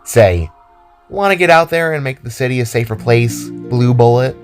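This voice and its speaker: A man's voice: mid-range voice, expressive, normal speed, soft background music, pitched above the performer's own voice, natural voice. A man voicing an upbeat, caring teenage girl heroine with an earnest, encouraging manner, in an audio drama. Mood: playful.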